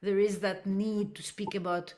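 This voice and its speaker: A woman's voice: mid-range voice, level tone, moderate pace, darkish tone, a little hiss or hum. A woman whose first language is Greek, speaking thoughtfully in a class discussion and searching for her words. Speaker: student.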